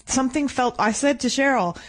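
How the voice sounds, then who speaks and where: A woman chatting casually as a guest on a radio show, self-deprecating and quick to laugh. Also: high voice. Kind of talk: casual chat.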